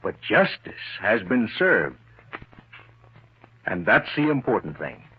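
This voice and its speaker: A man's voice: on the low side, big pitch swings, slow pace. An actor playing a shrewd, confident government lawman in an old-time radio crime drama, pressing his point assertively. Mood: coolly triumphant. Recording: muffled, narrow-band old radio recording.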